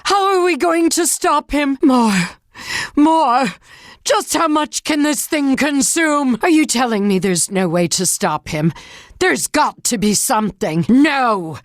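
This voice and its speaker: A woman leading a nation through disaster in a video game. Her voice sits fairly high, formal and solemn, heavy with responsibility and openly emotive. It is recorded dry, with little room sound. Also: lively intonation, takes it slowly, dry recording. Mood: alarmed, then despairing. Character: burdened, grave woman national leader facing disaster. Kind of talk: video game voice acting, dramatic monologue.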